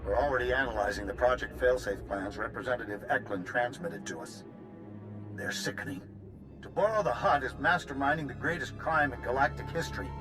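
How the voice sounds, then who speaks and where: A man's voice: medium pitch, a little fast, natural voice, low-quality recording. Man playing a grave resistance leader, music behind. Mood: outraged. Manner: earnest, grave. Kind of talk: scripted game acting.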